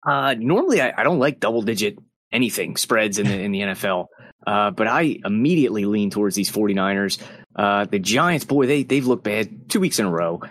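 A man's voice: fairly low pitch, lively intonation, a little fast, a bit bright. A man giving casual opinions as a panelist on a sports betting show. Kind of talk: casual chat.

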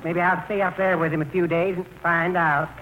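Actor as meek, bewildered older husband. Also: male voice, high voice, flat delivery, brisk, muffled recording, lightly acted. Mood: earnest, evasive. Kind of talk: 1940s radio acting. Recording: faintly noisy vintage radio recording.

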